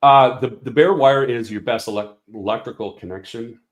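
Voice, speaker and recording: A man's voice: mid-range voice, moderate pace, reverberant, dull audio. A man representing a product company, explaining speaker design in a casual technical walkthrough with many fillers and restarts.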